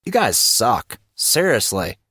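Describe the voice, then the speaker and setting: A man voicing a showy, excitable teenage boy with a theatrical delivery for an audio story. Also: medium pitch, takes it slowly, slightly rough, no room sound, studio quality, exaggerated voice. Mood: mock offended. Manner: theatrical, presenter-like.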